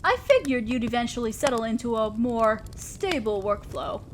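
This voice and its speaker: High-voiced, imperious demon lady boss, acted by a woman. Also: lively intonation, normal speed, slight background noise, lightly acted. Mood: measured, frank. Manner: commanding, condescending, scolding. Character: imperious female demon lord, stern, exacting boss of hell. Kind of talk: scripted roleplay audio drama monologue.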